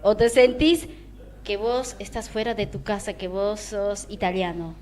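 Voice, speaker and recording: A woman giving her opinions in a lively, searching way on a radio discussion. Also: mid-range voice, measured pace. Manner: animated, opinionated, searching for words. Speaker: radio host.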